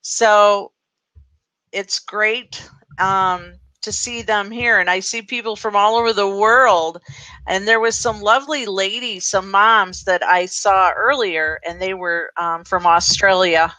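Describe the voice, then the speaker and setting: A woman hosts a casual live video call with a bright, crisp-edged tone. Her sound is very dry, with little room around it. She talks off the cuff and welcomes guests as she goes. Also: mid-range voice, fairly even intonation, slow, no room sound, rough recording. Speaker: host of a live video chat. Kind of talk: casual unscripted chat. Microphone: live group video call.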